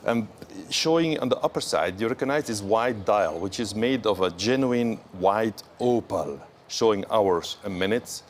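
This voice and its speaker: A man presenting watches on a morning show, speaking carefully and admiringly with pauses between phrases. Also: lowish voice, lively intonation, slight background noise. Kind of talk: live show product walkthrough.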